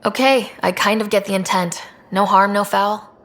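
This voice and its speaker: An actress playing a level-headed young team leader in a space video game, conversational and understated. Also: female voice, mid-range voice, steady tone, normal speed, fairly bright tone, close to an everyday voice. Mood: questioning.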